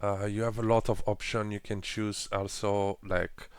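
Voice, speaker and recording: A man presenting a casual software tutorial with phrasing and frequent fillers, explaining each step while clicking through an editor. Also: fairly low pitch, flat delivery, measured pace, clean tone, dry recording, clean studio sound. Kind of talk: screen-recorded software walkthrough.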